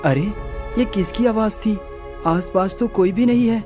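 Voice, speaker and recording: A man's voice: sits high, unhurried, over music. A man voicing a curious young prince in a cartoon, with a wondering, inquisitive manner. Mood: puzzled. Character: young prince, curious, good-natured traveller. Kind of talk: Hindi moral-story cartoon acting.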